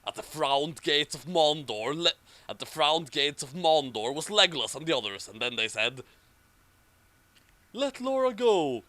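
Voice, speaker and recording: A man's voice: a little high, moderate pace, bright, forceful tone, dry recording. A man reading an amateur story aloud and adding mocking commentary. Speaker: reader and commentator.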